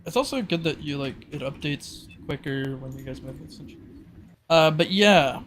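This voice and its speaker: A man runs a tabletop game in a casual, improvised style. His voice is on the higher side and his pace is slow and halting, with slight background noise. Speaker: game master.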